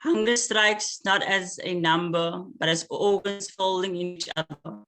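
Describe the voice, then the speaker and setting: Man reading poetry slowly. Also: high-pitched, fairly even intonation, sharp tone. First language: Afrikaans. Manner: slow, measured recitation with hesitant asides between pieces. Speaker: poet.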